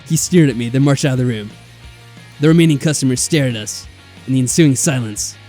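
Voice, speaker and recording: A man doing casual let's play commentary, reading game text aloud with animated, mildly sarcastic reactions and some swearing. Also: mid-range voice, fairly quick. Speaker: let's player. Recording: music behind the voice.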